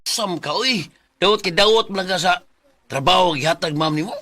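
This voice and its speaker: Blunt, teasing male office buddy played by a man, slightly high voice, Bisaya accent, radio drama. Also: normal speed, music in the background, lightly acted. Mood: chiding. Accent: Filipino, Bisaya.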